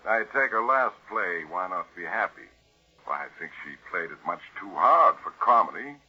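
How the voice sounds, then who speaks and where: An actor playing a polite, earnest family lawyer with a formal, emphatic manner in 1940s radio style. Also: male voice, low-pitched, animated, moderate pace, husky. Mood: candid, apologetic. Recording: muffled old radio recording.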